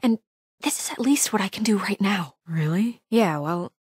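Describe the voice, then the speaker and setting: A young female fairy-tale official for a narrative game: measured, caring yet firm, naturalistic delivery, medium pitch, close dry sound. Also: lively intonation, slightly rough, no echo. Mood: defiant, anxious. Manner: earnest, measured, diplomatic. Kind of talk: naturalistic video game voice acting.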